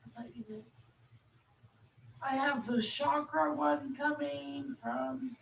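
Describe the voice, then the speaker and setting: A woman chatting casually in a drawn-out, rambling way, heard from away from the mic in an echoey space with faint noise. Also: sits high, creaky, far from the mic. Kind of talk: casual chat.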